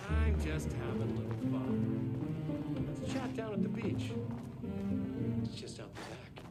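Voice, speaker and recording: Casting: cocky evil-twin biker, taunting and secretly insecure, high for a man, music loud underneath. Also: sing-song, takes it slowly. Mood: sly teasing. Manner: theatrical, taunting. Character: mocking, insecure semi-evil twin brother, too-cool biker villain. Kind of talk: campy video game dialogue.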